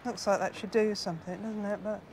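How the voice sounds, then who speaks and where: A woman's voice: medium pitch, steady tone, brisk, a little mellow. Woman chipping in on a van tour, noisy hall. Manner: brief, practical comments. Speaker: co-presenter. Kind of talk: casual chat. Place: inside a motorhome at an indoor trade show.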